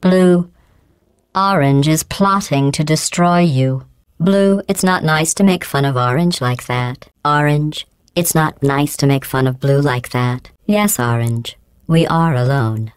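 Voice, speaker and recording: A woman voicing a cold, sarcastic female AI overseer in a video game, speaking in a deadpan, mocking, clipped and measured way through a synthetic computer voice effect. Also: sits low, clean tone, no room sound, clean studio sound. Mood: sardonic.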